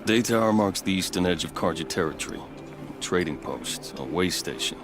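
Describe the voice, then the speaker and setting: A man playing a dutiful, proud veteran captain in a video game, speaking in a formal, earnest and measured way. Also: low voice, lively intonation, noisy background. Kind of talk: video game dialogue acting. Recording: background music under the voice.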